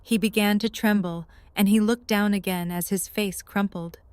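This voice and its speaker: A woman's voice: medium pitch, fairly even intonation, normal speed, clean tone, no echo. A woman reading scripted story narration for an audio drama.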